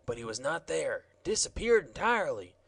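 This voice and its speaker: A man doing a gravelly, chatty wanderer. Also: on the high side, sing-song, normal speed, fairly bright tone, full character voice. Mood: rueful. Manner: chatty and offhand. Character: rambling, easygoing shaggy wanderer, adult man. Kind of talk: audiobook character voice.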